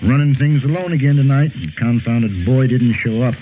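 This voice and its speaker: An actor playing a talkative, rustic frontier stable keeper with a rambling, folksy manner in a 1950s radio western. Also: male voice, medium pitch, normal speed, natural voice. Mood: chatty, hospitable. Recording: tinny old radio, clear background noise.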